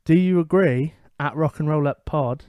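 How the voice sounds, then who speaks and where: A man co-hosting a casual comedy podcast, weighing his views in a measured, hesitant way and often restarting sentences. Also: on the high side, clear, resonant tone, no room sound, highs cut off. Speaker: podcast co-host.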